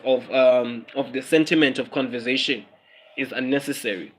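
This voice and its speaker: A man's voice: medium pitch, a bit bright. A South African man from South Africa hosting a casual livestream, musing aloud, repeating his phrases and dropping in Zulu words. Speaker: livestream host.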